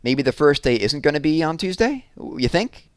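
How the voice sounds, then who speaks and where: A man's voice: on the high side, sing-song, moderate pace, clean tone, dry recording, clean studio sound, voice pushed up. A man reading aloud, voicing an eager young girl with a chirpy, upbeat way of talking. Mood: hopeful. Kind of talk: read-aloud character voice.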